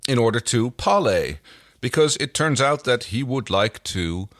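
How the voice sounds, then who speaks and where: A man's voice: medium pitch, expressive, a little slow, a bit bright, dry recording, studio quality. A man co-hosting a podcast, talking casually as he recaps a TV show. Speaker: podcast co-host.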